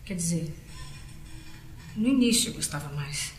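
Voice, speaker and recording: A woman's voice: on the low side, animated, a little slow, soft background music. Woman as a nervous teacher pouring out her worries, echoey room. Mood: anxious. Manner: worried, confiding. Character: anxious female teacher troubled about her voice. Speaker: teacher as a patient. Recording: roomy echo.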